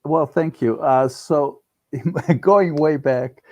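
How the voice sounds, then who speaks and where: A man's voice: fairly high pitch, drawn-out words, darkish tone, no room sound, lo-fi sound. An older man, speaking conversationally and earnestly as an interview guest, often restarting his sentences. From Romania-born, raised in Cleveland, US. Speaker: interview guest, business founder.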